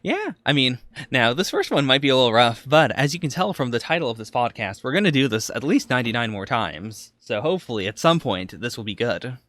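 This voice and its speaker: A man's voice: mid-range voice, big pitch swings, brisk, hardly any pauses, a bit bright. A man chatting casually as a podcast co-host, reacting to anime as he watches.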